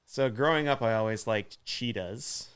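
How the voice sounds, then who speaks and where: A man's voice: medium pitch, normal speed, a bit bright, clear, resonant tone. A man as a podcast guest in casual chat, easygoing and a bit tentative in his answers.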